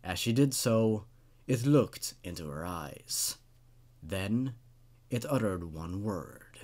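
A man in his twenties reading a horror story aloud, dramatically and with deliberate pauses for suspense. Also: fairly low pitch, animated, darkish tone. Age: 20s. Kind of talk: dramatic horror story narration with brief casual comments.

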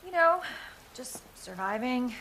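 Young female survivor for a game: high, animated, wry, rain behind. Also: takes it slowly, exaggerated voice, rough recording. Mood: wry, friendly. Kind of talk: video game cutscene acting. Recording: background noise with rain.

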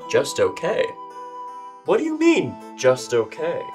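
A young man acts out a gallant, sleepy moon knight of children's theater. He speaks slowly and deliberately, swinging theatrically up and down in pitch. Music plays along with his voice. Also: lively intonation, lightly acted. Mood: concerned. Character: drowsy gallant male knight with moon powers. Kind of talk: children's readers' theater, reading from script. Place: indoor costumed stage set, camera filming. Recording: music over the voice.

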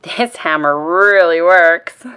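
A woman's voice: fairly low pitch, slow, bright, forceful tone, clean tone. A woman reading the part of an earnest, upbeat little boy critter in a storybook. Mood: apologetic.

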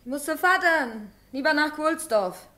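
Radio drama casting: hard, defiant carter's wife, fairly high voice, blunt and bitter. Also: female voice, lively intonation, moderate pace, pushed and bright. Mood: sullen. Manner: blunt, emphatic. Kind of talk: 1960s German radio drama acting.

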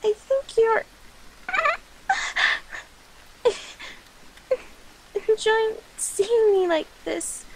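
A woman voicing a shy, devoted catgirl familiar in an ASMR roleplay, halting, flustered and cutely pleading. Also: very high-pitched, darkish tone, slight background noise, voice pushed up, heavily acted, rough recording. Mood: flustered protest.

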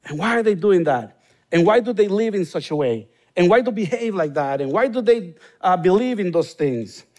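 Honduran-accented pastor preaching from stage. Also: male voice, high voice, animated, moderate pace, darkish tone. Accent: Honduran Spanish. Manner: earnest, rising to emphatic bursts on key points. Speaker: guest pastor. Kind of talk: sermon with prayer. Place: large church stage, indoors.

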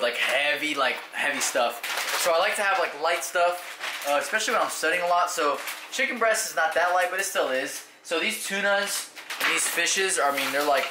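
Chatty young man in echoey kitchen. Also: fairly high pitch. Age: young adult. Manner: chatty, joking, heavy on "like" fillers. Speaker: medical student vlogger. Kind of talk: casual cooking vlog chat. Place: small home kitchen, indoors. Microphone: handheld camera mic. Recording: echoey kitchen with background noise.

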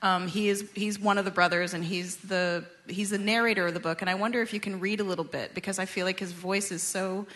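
A female radio host conducts an interview in a conversational style. Her mid-pitched voice is bright and forward. She phrases things in a slightly halting way as she goes. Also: steady tone.

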